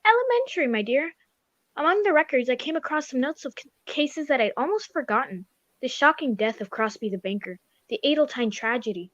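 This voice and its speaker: A woman's voice: high voice, lively intonation, brisk, fairly bright tone, dry recording. A woman playing a genial, sentimental male sidekick and storyteller in an old-time radio drama, with polite, old-fashioned, exclamatory delivery. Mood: genial, welcoming. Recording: dull, dry lo-fi recording.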